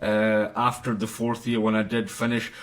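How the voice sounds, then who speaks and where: A man, an imam, answering podcast interview questions in a halting way with many fillers. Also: lowish voice, completely flat delivery, far from the mic.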